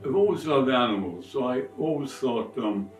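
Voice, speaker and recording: An older retired man answering interview questions, with soft music behind him. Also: mid-range voice, expressive, moderate pace, recorded from a distance. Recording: echoey room, off the mic. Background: soft guitar music.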